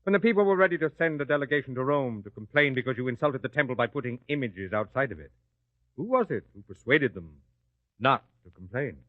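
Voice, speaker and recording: Male actor as scheming priest, sly and persuasive, lively pitch, tinny sound. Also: quick pace, no room sound, close to an everyday voice. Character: scheming old high priest, smooth persuader. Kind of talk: old-time radio drama acting. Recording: tinny phone-like lo-fi recording.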